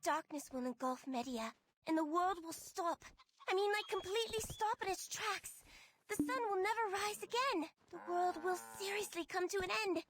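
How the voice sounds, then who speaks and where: A woman's voice: extremely high pitch, moderate pace, slight background noise. An actress playing a young, gloomy girl prophet in a video game, dramatic and slangy in a girlish way. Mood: urgent, foreboding. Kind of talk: video game character acting. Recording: music behind the voice.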